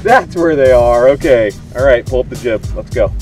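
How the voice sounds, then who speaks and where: A man's voice: mid-range voice, lively intonation, moderate pace, a little mellow, faint music behind. A man, chatting casually and dryly as host of a sailing vlog. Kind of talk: casual chat. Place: sailboat, open deck and wooden cabin.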